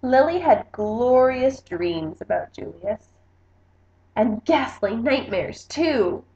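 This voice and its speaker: A woman reading a children's storybook aloud in an expressive narrating style. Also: on the high side, slow, slight background noise. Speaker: book reader. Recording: echoey room, slightly muffled sound.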